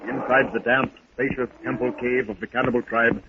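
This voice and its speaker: A man's voice: medium pitch, flat delivery, moderate pace. A man narrating an adventure serial in a dramatic, scripted 1930s radio style. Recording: noisy, tinny 1930s radio recording.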